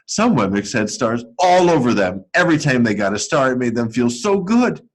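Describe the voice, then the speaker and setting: Male school storyteller, mid-range voice with very lively intonation, expressive and deliberate, for children's read-aloud. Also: medium pitch. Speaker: school educator reading aloud to pupils.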